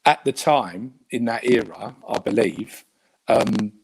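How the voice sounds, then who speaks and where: A man's voice: medium pitch, takes it slowly, creaky, a little mellow, slightly rough, poor audio. A man with a Cockney accent from England, chatting as a guest on a video call, hesitant with many fillers and restarts. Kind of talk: casual video call chat.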